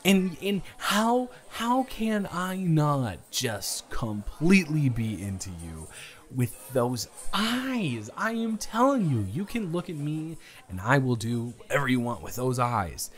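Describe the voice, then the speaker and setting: A man acting an earnest, reassuring young suitor on a date in an improvised romantic audio roleplay, speaking haltingly with stammers. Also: fairly high pitch, very animated. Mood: smitten.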